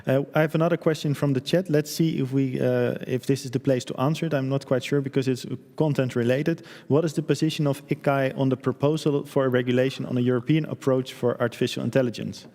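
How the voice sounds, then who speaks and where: Male host, monotone, halting, reverberant chapel. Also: medium pitch. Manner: hesitant, many fillers. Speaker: event host, moderator. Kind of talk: moderating a livestream event.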